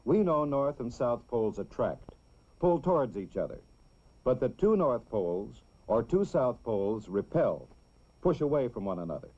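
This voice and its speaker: A man narrates a scripted educational film for children in a measured, clearly explanatory way. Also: medium pitch, big pitch swings, warm tone. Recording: dull, lo-fi old film soundtrack.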